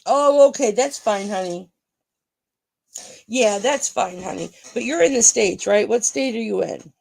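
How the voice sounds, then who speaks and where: Gravelly groggy-voiced woman auction host. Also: medium pitch, unhurried, a little mellow. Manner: chatty, calls viewers honey. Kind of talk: live-stream sales chat.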